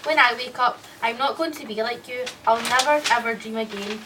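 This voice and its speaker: A woman playing a composed, quietly sinister and teasing woman in a radio drama. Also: a little high, brisk, far from the mic, noisy background, lightly acted. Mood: cold, menacing. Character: sinister dream-double woman, coolly taunting, falsely sweet.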